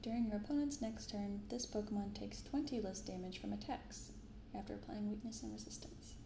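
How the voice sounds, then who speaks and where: A young woman speaking softly in an ASMR style, unhurried as she comments and reads aloud. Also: mid-range voice, creaky, reverberant, noisy background. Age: young adult. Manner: unhurried, hushed commentary and reading from cards. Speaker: host of a card-opening channel. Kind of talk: soft-spoken ASMR. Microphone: binaural microphone.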